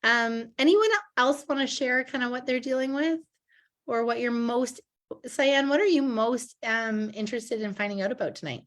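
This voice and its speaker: Woman host, 30s, a little husky from a cold, chatty and upbeat, video call. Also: a little high, moderate pace. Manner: chatty, upbeat, many ums and restarts. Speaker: host, direct sales presenter. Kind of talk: casual online product presentation. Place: at home, online video call.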